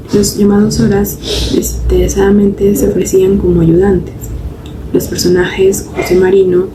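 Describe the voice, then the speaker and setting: Creaky low-voiced woman narrator, noisy recording. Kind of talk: scripted plot-summary narration. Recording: clearly noisy amateur recording.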